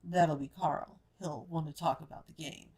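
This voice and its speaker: A woman reads an easygoing husband from a pulp novel. The delivery is laid-back and teasing, pitched a little low for a female reader. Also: fairly even intonation, normal speed, a little mellow, breathy edge. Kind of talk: audiobook character dialogue.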